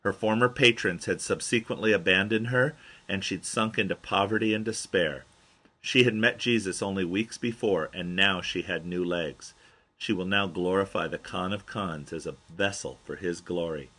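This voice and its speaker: Bright, husky low-voiced male memoir narrator. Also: normal speed. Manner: expressive storyteller, acts out quoted dialogue. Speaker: author reading own book aloud.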